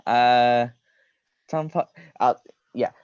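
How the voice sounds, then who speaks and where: A man's voice: medium pitch, fairly even intonation, drawn-out words, a little mellow, clean tone, dry recording. Man reading aloud, dull close-up sound. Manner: often breaks into giggles. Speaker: reader. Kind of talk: reading comedic fan fiction aloud on a livestream.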